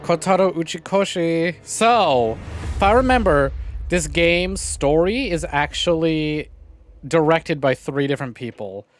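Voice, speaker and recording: A man's voice: fairly high pitch, lively intonation, unhurried, faint music behind. A man hosting a livestream, giving casual, conversational game commentary straight to his viewers. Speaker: livestream host.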